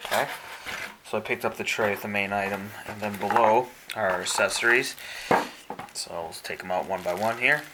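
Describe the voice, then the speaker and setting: A man giving casual unboxing narration as a product reviewer, explaining things in a measured, step-by-step way. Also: fairly low pitch. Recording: faint background hiss.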